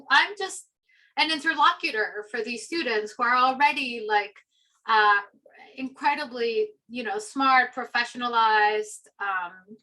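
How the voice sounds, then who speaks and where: A woman's voice: fairly high pitch, sharp tone, poor audio. A middle-aged woman from Peru, a philosophy professor, chatting reflectively about her path with many fillers. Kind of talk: conversational personal story.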